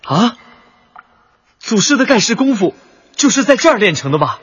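A man's voice: high voice, very animated, moderate pace, a bit bright, slightly rough, no echo, highs cut off, full character voice. An actor playing a young male martial arts disciple, earnest, questioning and puzzled, in a Chinese radio drama. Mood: bewildered, eager.

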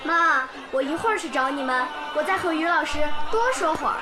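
An actress voicing a bright, curious young village girl who tells stories eagerly, in a radio drama. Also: high-pitched, fairly quick, close to an everyday voice. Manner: lively, eager storytelling. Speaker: pupil. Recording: background music under the scene.

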